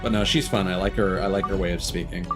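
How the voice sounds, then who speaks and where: A man commentating casually on a game livestream, rambling in halting asides with repeated words. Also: low-pitched, fairly even intonation, music in the background. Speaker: game streamer.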